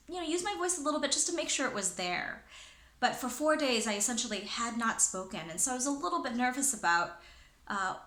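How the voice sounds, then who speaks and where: A woman's voice: mid-range voice, bright, forceful tone, echoey room. A woman who works as a presenter and trainer, sharing advice in a chatty way with many fillers and restarts. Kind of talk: casual talking-head advice.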